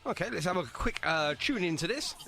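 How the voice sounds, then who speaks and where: A chatty man hosts a casual band interview. His voice sits fairly high and swings around a lot as he jokes and teases his guests. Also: animated, a little fast, gravelly, bright, forceful tone. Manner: chatty, jokey, apologetic teasing. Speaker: radio show host.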